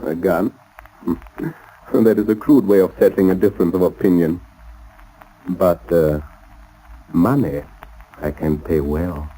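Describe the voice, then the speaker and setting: An actor playing a suave, cold-blooded saboteur doctor in a 1930s radio drama, speaking formally and deliberately with polite menace. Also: male voice, low-pitched, mellow, breathy edge, low-quality recording. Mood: calm, calculating. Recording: old 1930s radio recording.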